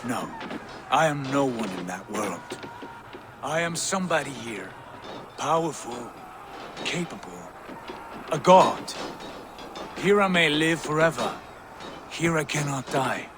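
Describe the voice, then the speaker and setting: An actor playing a proud, brooding Viking who craves glory in a video game, intense and emphatic. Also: male voice, medium pitch, animated, slow pace, long pauses, noisy background. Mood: proud defiance. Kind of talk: dramatic video game voice acting.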